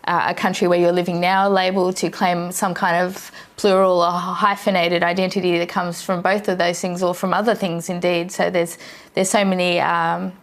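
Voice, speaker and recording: A woman moderates a panel discussion. Her voice has a gravelly, creaky texture. She sounds attentive and encouraging as she brings the guests in. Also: on the low side.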